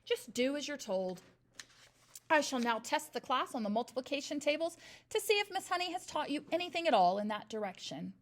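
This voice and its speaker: A woman's voice: high voice, big pitch swings, brisk, voice pushed up. A woman doing a bellowing bully headmistress. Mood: scornful. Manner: barking, bellowing, sarcastic. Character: huge bullying female headmistress, furious and brutal.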